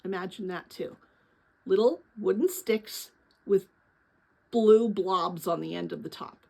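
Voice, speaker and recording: A woman narrating a children's novel as a dramatic read-aloud, with an expressive delivery aimed at young listeners. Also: medium pitch, vocal fry. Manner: expressive, pauses to address young listeners with questions.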